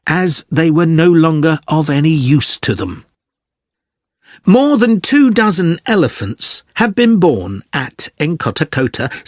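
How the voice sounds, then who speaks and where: A man reads a scripted academic lecture in a fairly high voice with lively intonation. He articulates carefully and takes his time, and the recording is very dry and close. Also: no echo. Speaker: lecturer in a listening exam recording.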